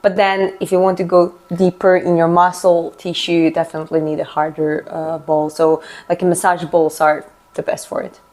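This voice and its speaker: A woman's voice: on the low side, little pitch movement, normal speed, darkish tone. A woman from Georgia whose first language is Russian, chatting casually on a live stream, answering viewer comments and explaining as she stretches, often restarting her words.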